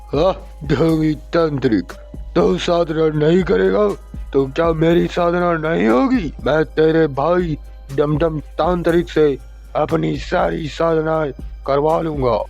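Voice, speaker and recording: A man voicing a gravelly flesh-eating ghost. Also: a little high, takes it slowly, a little mellow, soft background music. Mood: menacing. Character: crazed flesh-eating male ghost, powerless. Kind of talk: horror cartoon voice acting. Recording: muffled lo-fi recording.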